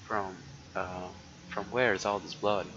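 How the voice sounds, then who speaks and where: A man with a fairly deep voice reads a visual novel aloud on stream. He goes haltingly, with offhand asides. The sound is muffled and has some noise in it. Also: fairly even intonation, bright, forceful tone. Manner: halting reading broken by muttered asides. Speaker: live streamer. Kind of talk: reading a visual novel aloud with casual reactions. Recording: dull lo-fi mic with noise.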